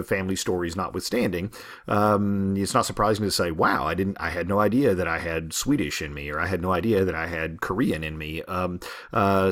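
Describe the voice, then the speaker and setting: A man records a literature lecture for his students in a low-pitched voice. He talks in a loose, conversational way, stacking fillers and false starts and asking his class rhetorical questions. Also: fairly bright tone. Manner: rambling with many fillers and restarts.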